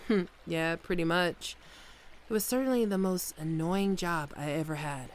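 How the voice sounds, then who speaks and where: A woman's voice: on the low side, normal speed, a little hiss or hum, lightly acted. A woman playing an affectionate, wry bodyguard wife in an intimate, soothing ASMR roleplay. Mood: wry, exasperated. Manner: soothing, playful, storytelling. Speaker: voice actor in an audio roleplay. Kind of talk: ASMR roleplay, intimate one-sided dialogue.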